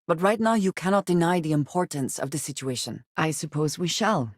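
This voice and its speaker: Casting: an earnest, guarded female space-game companion; precise, formal delivery, measured phrasing, dry studio-close sound. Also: low-pitched, dry recording. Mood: stern, disapproving. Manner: formal, measured, precise diction with few contractions. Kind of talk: video game character voice lines.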